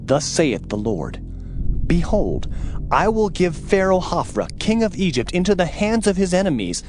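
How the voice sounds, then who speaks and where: A male actor plays a stern prophet with a fairly high, very animated voice. He declaims divine judgment solemnly in archaic scriptural language, with music behind him in an audio Bible drama. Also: moderate pace. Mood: stern, condemning.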